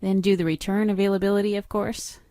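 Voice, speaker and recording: A woman's voice: medium pitch, little pitch movement, fast, warm tone, no echo, clean studio sound. A middle-aged woman narrating a screen-recorded training walkthrough, explaining each step in a matter-of-fact instructional way. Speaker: software trainer.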